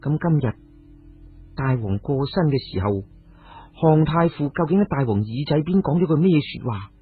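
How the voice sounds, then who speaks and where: Man acting as suspicious noblewoman, cold, probing, controlled, husky, storybook dialogue, phone-like sound. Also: fairly high pitch, lively intonation, moderate pace. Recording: tinny narrow-band radio sound, music behind.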